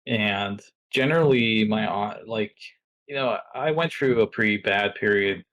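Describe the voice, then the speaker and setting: A fairly low-pitched middle-aged man, talking as an interview guest. He muses in a loose, rambling way, circling back and restarting his sentences. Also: unhurried, fairly bright tone, muffled recording. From Norway. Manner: reflective, rambling with many restarts. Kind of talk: casual interview talk.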